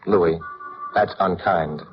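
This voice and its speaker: Old noisy radio show, a smooth wry american detective, dry wit, easygoing. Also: male voice, sits low, steady tone, takes it slowly, dull audio. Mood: wry banter. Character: suave, wry, easygoing gentleman adventurer detective. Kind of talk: 1950s radio detective drama acting.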